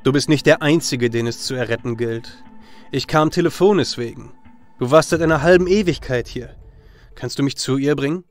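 A man's voice: mid-range voice, normal speed, faint music behind, natural voice. A man playing a cocky, nonchalant hero in a fantasy audio drama, speaking in a casual, blunt way. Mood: inquisitive.

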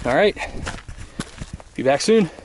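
A man in his thirties filming his own hike, talking casually and excitedly to the camera on an open mountaintop. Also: fairly high pitch, takes it slowly. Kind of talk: casual vlog narration. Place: windy open mountaintop outdoors. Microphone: action camera on a pole. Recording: loud wind noise on camera mic. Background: loud wind across the mic.

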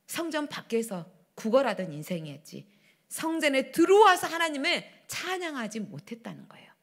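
A middle-aged woman pastor preaching a sermon at a church pulpit, swinging between loud declarations and hushed asides. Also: high voice. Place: church sanctuary, standing at pulpit. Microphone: pulpit microphones.

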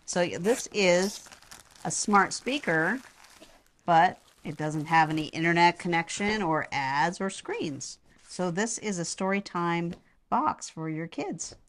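A woman with a low-pitched voice co-hosts a relaxed product review. She talks casually as she unboxes and demonstrates an item. The recording is made indoors in a home. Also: slow pace, a little hiss or hum.

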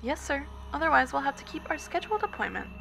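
Creaky, chillingly polite female phone operator, acted by a woman. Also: high voice, very animated, fast, pushed and bright, heavily acted. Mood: courteous, menacing. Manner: scripted customer-service courtesy, unflappable. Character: polite, chillingly cheerful female telephone operator of a sinister company. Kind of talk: scripted horror audio drama.

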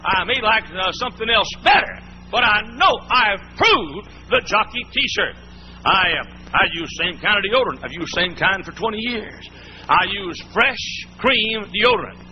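High-voiced folksy preacher on muffled tape. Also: male voice, expressive, measured pace. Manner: folksy, emphatic, repetitive. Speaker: pastor. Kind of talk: sermon. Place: church. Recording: muffled old sermon tape, faint hiss.

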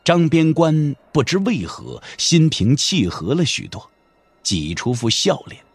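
A man narrating a martial-arts audio drama in a measured, expressive, scripted storytelling style. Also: on the high side, slightly rough, no room sound. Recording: music under the voice. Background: background music.

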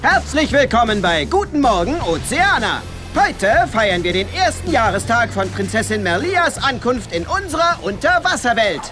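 A woman's voice: on the high side, big pitch swings, moderate pace, over music. A woman playing a bubbly, gushing mermaid morning-show presenter in a children's audio drama. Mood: bright, gushing.

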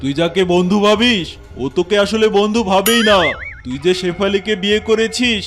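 A man's voice: high voice, normal speed, music in the background, lightly acted. A man voicing a blustering, protective uncle tiger in a children's cartoon, scolding and knowing. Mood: explaining.

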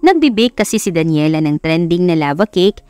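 A woman's voice: on the low side, big pitch swings, brisk, darkish tone, clear, resonant tone. A woman with a Filipino accent reading a scripted romance as a dramatic audiobook narrator. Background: background music.

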